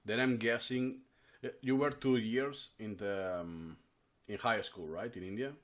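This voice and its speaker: Low-voiced man asking halting questions. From Spain. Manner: brief prompts, halting questions. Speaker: interviewer. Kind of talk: casual interview.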